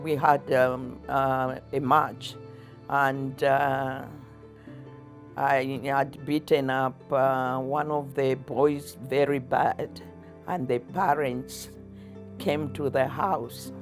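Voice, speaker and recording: Older lady w zambian accent, slow halting chuckly storytelling, soft music in background. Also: a bass voice, level tone. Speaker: interviewee, athlete's mother. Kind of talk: personal recollection in conversation.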